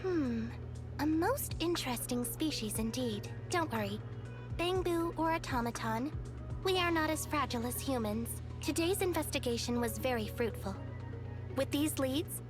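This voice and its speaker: A female police automaton from a video game, with a high voice and calm, formal phrasing. She sounds wise and detached, explaining things in a measured way. Music plays clearly behind her. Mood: detached, philosophical. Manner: measured, formal, matter-of-fact.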